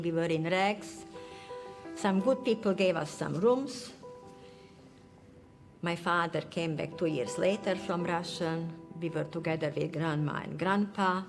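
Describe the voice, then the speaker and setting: A woman in her eighties giving Holocaust testimony in plain, short, matter-of-fact sentences. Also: lowish voice. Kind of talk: recorded testimony interview. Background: soft music.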